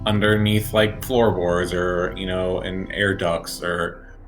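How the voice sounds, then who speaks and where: A man playing a tabletop game, describing his actions casually in a halting, filler-heavy way. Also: low voice, flat delivery, music in the background.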